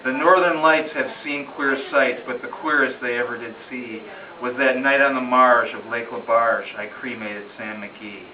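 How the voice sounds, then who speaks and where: A man's voice: mid-range voice, fairly even intonation, normal speed, few pauses, a crowd in the background. A man giving a dramatic, rhythmic recitation of a narrative ballad as an amateur reader, telling it like a story with emphatic phrasing. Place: room in a home, at a gathering. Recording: roomy distant muffled home recording, faint noise.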